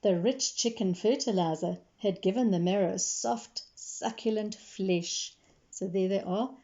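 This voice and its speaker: A woman reads a children's storybook aloud with animated expression. Her pitch sits in a middle range for a woman. The recording is somewhat dull and lo-fi. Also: measured pace. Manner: animated, acts out dialogue. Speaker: storybook reader, librarian. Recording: dull, lo-fi sound.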